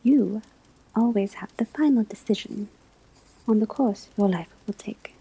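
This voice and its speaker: A woman speaks as a calm teacher at a school of witchcraft in a soft ASMR roleplay. Her voice is very breathy and mellow, often slipping into a whisper. She takes her time, choosing formal, measured phrases with gentle strictness. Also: medium pitch, rough recording.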